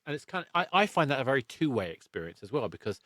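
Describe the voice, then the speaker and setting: A man's voice: medium pitch, very animated, rapid-fire, a bit bright, no room sound, clean studio sound. A man hosting a casual interview, thinking aloud and rephrasing his questions as he goes. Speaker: podcast host.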